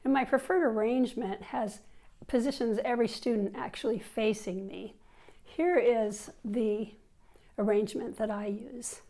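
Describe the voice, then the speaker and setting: A woman's voice: fairly high pitch, steady tone, unhurried, dark tone. A woman in her fifties with a cold, giving a chatty teacher workshop on a lapel mic with fillers and restarts. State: has a cold. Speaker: language teacher. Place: indoors, seated in an office chair before a plain wall.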